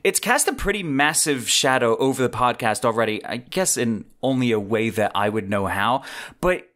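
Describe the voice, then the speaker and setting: A man's voice: medium pitch, animated, normal speed, a bit bright. A man hosting a solo podcast, giving semi-scripted commentary in a wordy, enthusiastic, conversational way into a close desk microphone. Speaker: podcast host. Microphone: close desk-mounted microphone on boom arm.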